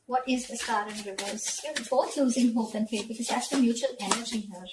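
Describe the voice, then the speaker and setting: High-pitched woman giving a tarot reading. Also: a little fast, noisy background. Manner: repeats phrases, seeks agreement with short tag questions. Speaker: tarot reader. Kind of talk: conversational tarot reading addressed to viewer.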